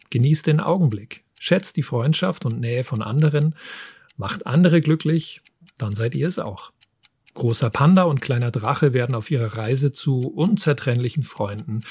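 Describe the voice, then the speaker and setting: A man's voice: mid-range voice, darkish tone, husky, no room sound, studio quality. A man co-hosting a book podcast in a conversational reviewing style.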